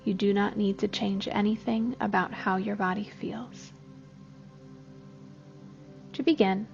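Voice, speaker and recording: A woman gently leading a scripted guided body scan meditation, giving unhurried instructions as a therapist. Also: mid-range voice, creaky, highs cut off. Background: soft music.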